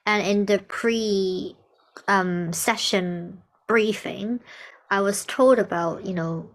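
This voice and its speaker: Young woman from Hong Kong, music therapist, animated and quick to laugh in casual discussion. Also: medium pitch, low-quality recording. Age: young adult. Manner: animated, laughs often, acts out quoted remarks. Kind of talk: conversational discussion.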